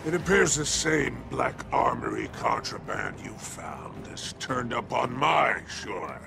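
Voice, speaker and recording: Gravelly alien mob boss for a game, sly n menacing, slow drawn out lines. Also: male voice, huge pitch swings, background noise, exaggerated voice. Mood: sly amusement. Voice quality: deep gravelly, growling. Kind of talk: video game character acting.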